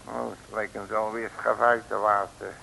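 An actor playing a cocky, slangy streetwise detective in an early 1960s comic radio serial, blustering and emphatic. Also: male voice, on the low side, little pitch movement, moderate pace, creaky, pushed and bright, slight background noise, exaggerated voice, poor audio. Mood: cocky, grumbling. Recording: old radio broadcast recording.

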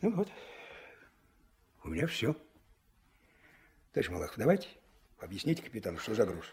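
Male Soviet dispatch boss, 1970s radio play: coaxing, husky, very animated, medium pitch. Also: fairly quick, full character voice. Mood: serious, pressing. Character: genial but pressing male river-port dispatch chief. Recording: old mono radio play recording.

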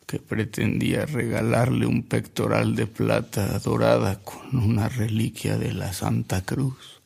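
An actor playing a frail, remorseful old man who narrates his past in a radio drama, slowly and reflectively, with a husky, gravelly voice. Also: lowish voice, little pitch movement, darkish tone, full character voice. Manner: slow, reflective, with coughs and pauses.

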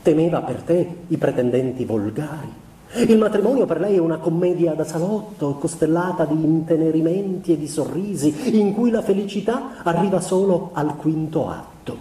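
A man playing a melancholy young musician confessing to his wife in a measured, reflective literary stage monologue. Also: a little high, lively intonation, dark tone, roomy sound, recorded from a distance, natural voice. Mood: tender regret. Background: piano music.

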